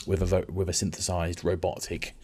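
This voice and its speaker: A man explaining music software as a tutor, in an informal, rambling, conversational way. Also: low voice, level tone, brisk, slightly rough. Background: synth and vocoder music.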